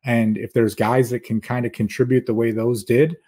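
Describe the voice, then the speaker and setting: A man with a husky, medium-pitched voice talks as a guest analyst on a sports podcast. He explains his points in a casual, conversational way, pressing each one. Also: level tone, moderate pace, warm tone.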